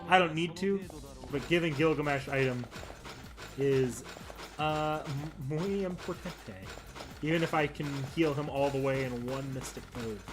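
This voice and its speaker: Male game streamer, lighter-pitched, chatty and meandering with frequent self-corrections, music playing behind. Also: unhurried. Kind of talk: casual gameplay commentary and chat.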